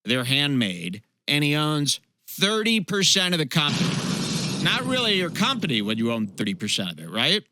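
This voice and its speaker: A man's voice: on the high side, huge pitch swings, normal speed, very noisy. A man hosting a comedy show, casually commenting on clips in a sarcastic, heckling way. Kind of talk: mocking commentary over clips, casual chat.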